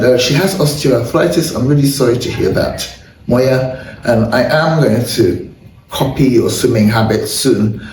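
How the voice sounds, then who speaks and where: A self-deprecating man hosts an easygoing health talk, his voice hoarse and catching with throat clearing. He sits well back from the mic, so the room echoes around him. A faint hum of noise lies underneath. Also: medium pitch, a little mellow. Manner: candid, self-deprecating, chatty. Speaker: host. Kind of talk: casual chat and viewer shout-outs. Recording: roomy echo, far from mic, faint noise.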